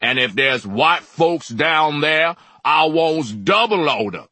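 A man voicing a huge, drunken male attendant who booms out commands. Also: expressive, takes it slowly, sharp tone, breathy edge, dry recording, exaggerated voice. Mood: booming. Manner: booming, commanding.

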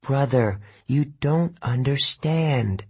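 A man voicing a solemn ghost girl warning her brother, in a soft, raised, husky voice. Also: mid-range voice, slow, no echo, pitched above the performer's own voice. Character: Bianca, solemn ghost girl, protective older sister.

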